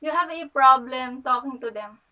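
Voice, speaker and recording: A woman's voice: sits high, normal speed, sharp tone. A woman aged twenty-two with a Filipino accent, explaining her thoughts in a hesitant, reflective way. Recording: distant microphone.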